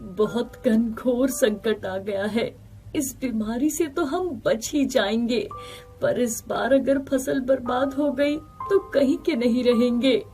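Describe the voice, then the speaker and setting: A woman voicing a kind, hopeful male farmer in an animated moral story, earnest and reassuring. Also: on the high side, slow pace, soft background music. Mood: worried. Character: hopeful, protective, kind village farmer man.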